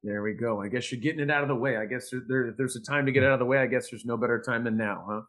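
Male podcast host, quick talker, informal and gushing, loose conversational interview style. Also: mid-range voice, steady tone, a bit bright. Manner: effusive, informal, wordy. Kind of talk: casual interview chat.

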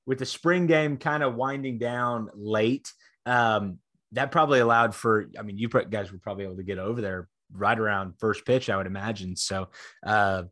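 Bright-voiced man hosting a sports podcast. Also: lowish voice, moderate pace, dry recording. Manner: laid-back, rambling, self-correcting. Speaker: podcast host. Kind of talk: casual sports podcast chat.

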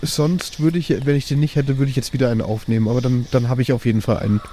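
A man with a dark, mellow voice of middling pitch plays a tabletop role-playing game casually. Faint insect and bird ambience sits behind him. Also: steady tone, fast talker, a little hiss or hum. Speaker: tabletop role-play player. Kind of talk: casual improvised role-play.

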